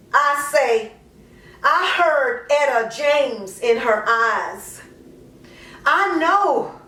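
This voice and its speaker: A woman performing a dramatic monologue as an anguished, guilt-ridden mother pleading to God, impassioned and drawn-out. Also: sits high, fairly bright tone, a little hiss or hum, full character voice. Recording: roomy echo, distant microphone.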